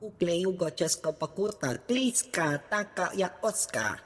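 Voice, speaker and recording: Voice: mid-range voice, animated, normal speed, a bit bright, long reverb tail, lightly acted. An actor playing a menacing alien in a video game, speaking an invented tongue. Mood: threatening.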